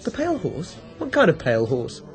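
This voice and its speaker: An actor playing a jovial, patronising gentleman in a radio drama. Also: fairly high pitch, wildly swooping pitch, brisk, soft background music. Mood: amused, patronising.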